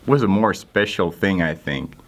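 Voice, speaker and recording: Young finnish guy, really deep low voice, finnish accent, dry jokey way of talking. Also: animated, normal speed. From Finland. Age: young adult. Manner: dry self-deprecating humor. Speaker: guest intern. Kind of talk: casual interview answers.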